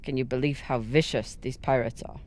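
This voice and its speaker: A battle-seasoned spaceship crewmate acted by a man talks in a mid-pitched voice, steady and reassuring. He is earnest and probing, in the manner of a dramatic game, with faint ambient noise behind him. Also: moderate pace, natural voice. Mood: probing, friendly. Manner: earnest, probing, lightly teasing. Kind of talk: dramatic video game dialogue.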